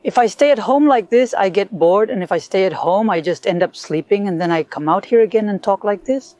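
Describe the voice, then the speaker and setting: A woman's voice: mid-range voice, lively intonation, brisk, darkish tone, no room sound. A middle-aged woman from North Korea, telling her story earnestly and reflectively in a casual conversation.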